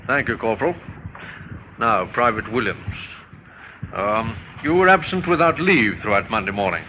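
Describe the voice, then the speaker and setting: A male police inspector in an old radio play. His voice is crisp and bright, courteous but probing as he works through his questions. A faint hiss of aged recording sits behind him. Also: on the low side, animated, poor audio. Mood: brisk questioning. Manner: brisk, questioning, polite. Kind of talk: 1950s radio drama acting.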